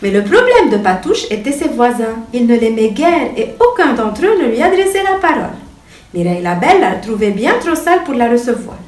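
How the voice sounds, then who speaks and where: Animated high-voiced woman reading a bedtime story. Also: fairly quick. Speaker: storyteller reading to a young child. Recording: echoey room, distant mic, music behind.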